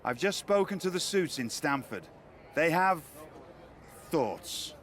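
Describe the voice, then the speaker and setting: A man's voice: a little high, lively intonation, takes it slowly, a bit bright, slight background noise. A man playing a dapper wrestling promoter with articulate, theatrical delivery and dry wit in video game cutscenes. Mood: formal, showman-like.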